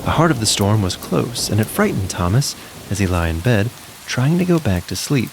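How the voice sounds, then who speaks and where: A man's voice: lowish voice, very animated, moderate pace, gravelly, heavy background noise. A man narrating a scripted children's story in a dramatic, suspenseful manner.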